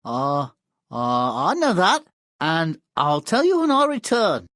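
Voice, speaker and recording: Lively, cocky young lad. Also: male voice, on the high side, takes it slowly, no room sound, studio quality, exaggerated voice. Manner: casual, breezy, overconfident. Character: cocky, carefree young lad, a luck child.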